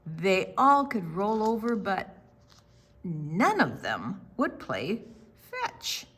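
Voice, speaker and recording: A woman hosting a children's storytime, reading a picture book aloud with theatrical, deliberate phrasing that draws in young listeners. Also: mid-range voice, huge pitch swings, a bit bright, breathy edge.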